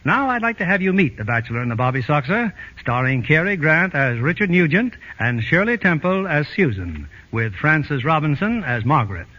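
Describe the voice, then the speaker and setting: Animated male 1940s radio announcer. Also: on the high side, moderate pace, few pauses, a little hiss or hum. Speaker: announcer, narrator. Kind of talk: 1940s radio scripted narration. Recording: muffled old radio broadcast.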